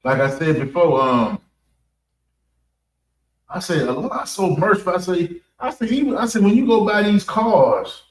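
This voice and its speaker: American guy preaching off the cuff, repeats himself a lot, kinda high voice, echoey and a bit distant. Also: expressive. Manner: halting, repeats phrases for emphasis. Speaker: preacher giving a public address at a mosque. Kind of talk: extemporaneous religious talk. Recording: echoey room, distant mic.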